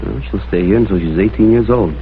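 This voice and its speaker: Actor as low-voiced hard-boiled American private eye, tinny soundtrack. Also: male voice, fast talker, slight background noise, natural voice. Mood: grave, pointed. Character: hard-boiled male private detective, wry, probing, coolly persistent. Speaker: actor playing detective and narrator. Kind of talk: mid-century American crime drama acting and narration. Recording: tinny vintage soundtrack, faint music behind.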